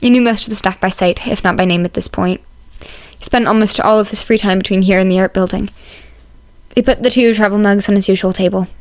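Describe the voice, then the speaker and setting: Woman reading an audiobook story, medium pitch, super dry recording. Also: steady tone, fairly quick, dry recording. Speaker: audiobook narrator. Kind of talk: scripted story narration.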